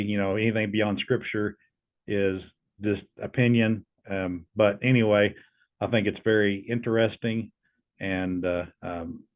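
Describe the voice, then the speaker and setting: A man talks casually as a host, in a lowish voice with little melodic movement. His delivery is low-key and halting, and the sound is narrow and tinny, like a phone line. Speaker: video host testing an AI voice. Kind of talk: casual explanatory chat and questioning.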